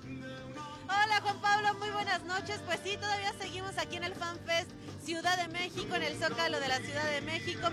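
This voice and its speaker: A woman with a Mexican accent giving an improvised, halting live field report from an outdoor city square. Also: very high-pitched. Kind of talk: live on-location news report. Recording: busy outdoor crowd, music and vehicles behind. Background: crowd and music.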